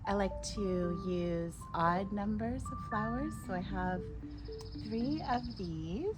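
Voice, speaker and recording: A woman vlogging casually from her backyard garden, a little hoarse from a cold, chatting gently as she arranges flowers. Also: mid-range voice, slow pace, over music. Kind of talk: casual garden vlog, demonstrating.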